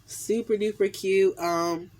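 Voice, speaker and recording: A woman in her thirties talks to her phone camera in a small room at home, her voice nasal and stuffy from a cold. She chats loosely and warmly, circling back with "um" and "definitely" as she reviews makeup. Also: mid-range voice, fairly even intonation, slow pace. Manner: chatty, lots of fillers and repeated "definitely". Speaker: beauty vlogger. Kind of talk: casual makeup haul review. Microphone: phone camera at arm's length.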